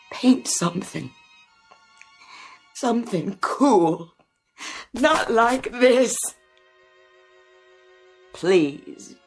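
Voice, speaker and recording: A woman's voice: fairly low pitch, husky, exaggerated voice. An actress playing a fragile, anxious older woman who speaks in a tremulous, pleading way, in a 1960s television drama. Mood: pleading, sorrowful. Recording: vintage TV soundtrack with music behind.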